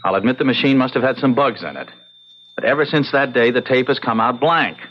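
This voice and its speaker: Excitable young man, played by an actor, muffled recording. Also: medium pitch, fairly quick, close to an everyday voice. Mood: defensive, uneasy. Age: young adult. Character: earnest, excitable young computer man engaged to marry. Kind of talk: radio drama acting. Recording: muffled, noisy old radio drama recording.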